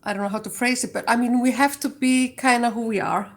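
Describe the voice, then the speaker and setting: An older woman with an Icelandic accent speaks in a fairly high voice. She talks reflectively and casually, with many small pauses and fillers. She laughs lightly between her thoughts. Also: steady tone. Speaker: guest, HR leader. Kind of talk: casual interview answer.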